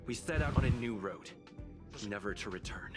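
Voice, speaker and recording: A man's voice: medium pitch, expressive, normal speed, music in the background, natural voice. A man playing a stern, commanding voyager in a video game, solemn and admonishing. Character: stern, commanding male voyager giving warnings.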